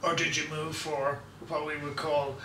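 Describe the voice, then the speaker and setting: A man's voice: fairly high pitch, flat delivery, moderate pace, sharp tone, reverberant, distant mic, a little hiss or hum. A middle-aged Irish man hosting a radio interview, hesitant and full of filler words, in a hotel room. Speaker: radio show host.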